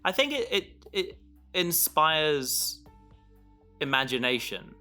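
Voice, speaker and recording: A man talks casually and reflectively in a bright, forward voice. He pieces thoughts together as he speaks, in a halting way. Soft guitar music plays faintly behind him. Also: on the high side, sing-song. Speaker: podcast creator and host.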